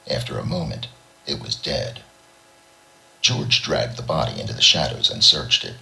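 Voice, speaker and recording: A man's voice: extremely low pitch, gravelly, bright, forceful tone, poor audio. A man reading a short story aloud as an audiobook narrator, in a dramatic storytelling style. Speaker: audiobook reader.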